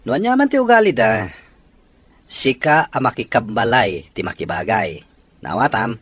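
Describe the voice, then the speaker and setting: A man's voice: mid-range voice, very animated, a little slow, husky, no room sound. An actor playing a worried father out of work in a radio drama. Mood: weary. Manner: earnest, worried. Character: struggling father out of work, weary.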